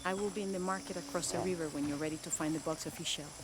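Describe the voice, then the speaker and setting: Composed, guarded guide played by a woman, brisk delivery, clearly noisy background, game drama. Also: sits low, fairly even intonation, lightly acted. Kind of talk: video game acting.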